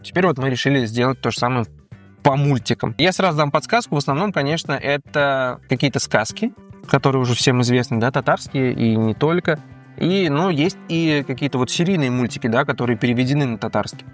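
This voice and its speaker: A man speaks as the host of a casual quiz-style show. His pitch sits in an ordinary middle range and his delivery is conversational. Music plays behind him. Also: fast.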